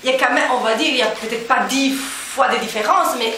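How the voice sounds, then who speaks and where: A woman's voice: sits high, brisk. A young woman from central Italy, talking in an interview and thinking aloud as she searches for words. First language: Italian. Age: young adult. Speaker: interviewee, cultural association co-founder. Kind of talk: casual interview talk. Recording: echoey room, distant mic, noisy.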